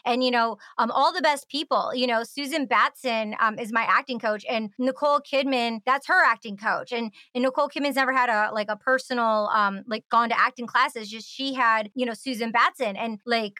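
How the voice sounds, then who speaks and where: Bright, high-voiced woman hosting. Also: no echo, clean studio sound. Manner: effusive, rambling. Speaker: podcast host. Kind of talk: casual interview chat.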